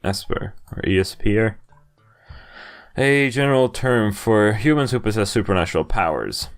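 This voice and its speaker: A man's voice: lowish voice, expressive, normal speed, smooth. A man hosting a playthrough, reading a visual novel's text aloud as dramatized narration. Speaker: video game playthrough host. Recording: game music behind the voice. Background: game music.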